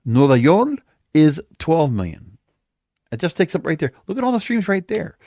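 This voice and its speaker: Man with lively, varied pitch, talking off-the-cuff. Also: normal speed, no room sound. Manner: off-the-cuff, thinking aloud while reading figures. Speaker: podcast host. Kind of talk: casual music chart commentary.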